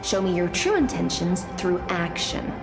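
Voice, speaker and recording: An actress playing an aloof, poised female knight of the deep sea in a video game, speaking in a measured, formal, coolly wry way. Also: big pitch swings, creaky. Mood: composed, commanding. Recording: echoey voice over background music.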